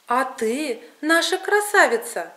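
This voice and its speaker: A woman storyteller plays a peasant father in a folk tale, stern yet kindly. The voice is high and lively in pitch. The delivery is folksy and emphatic, full of probing questions. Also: slow pace, full character voice.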